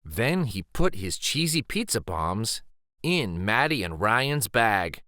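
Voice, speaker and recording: A man reading a children's picture book aloud in an expressive, deliberate, emphatic storytime style. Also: lowish voice, dry recording, studio quality. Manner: deliberate, animated, emphatic phrasing.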